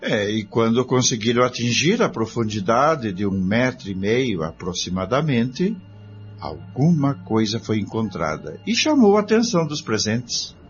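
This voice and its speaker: A man narrating a radio drama from a script, with expressive delivery. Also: medium pitch, unhurried. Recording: muffled recording, faint music behind.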